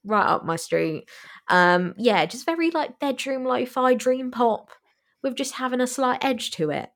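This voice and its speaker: A woman's voice: sits high, a little slow, vocal fry, fairly bright tone. A woman co-hosting a podcast, chatting casually and enthusiastically about new albums and songs, laughing often. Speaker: podcast co-host. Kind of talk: casual music review chat.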